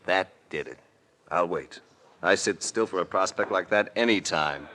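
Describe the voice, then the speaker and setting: Suave adventurer, very low voice, wry, unflappable, classic radio detective drama narrator. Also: male voice, moderate pace, bright, forceful tone, a little hiss or hum. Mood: flirtatious. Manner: smooth, wry, flirtatious, unflappable. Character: suave, wry male adventurer hero narrating his own case. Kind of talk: 1940s-50s radio detective drama acting and first-person narration.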